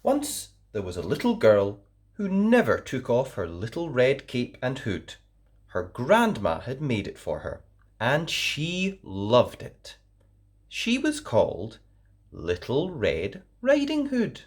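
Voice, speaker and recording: A woman reading a children's story aloud in a low, gentle voice. She takes her time with every phrase, her pitch rising and falling widely to keep young listeners hooked. The telling is warm in rhythm and expressive. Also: slow. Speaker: storyteller host.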